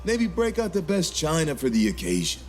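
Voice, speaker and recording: A man's voice: fairly high pitch, huge pitch swings, normal speed, a little mellow, faint music behind. A man playing a smirking, sardonic gang leader in a dramatic fan dub, taunting with mock politeness.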